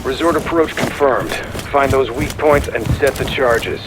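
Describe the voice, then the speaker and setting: An actor playing a brisk, focused mission-control officer in a video game, giving terse procedural commands. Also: male voice, mid-range voice, music in the background, natural voice. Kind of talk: scripted game mission briefing.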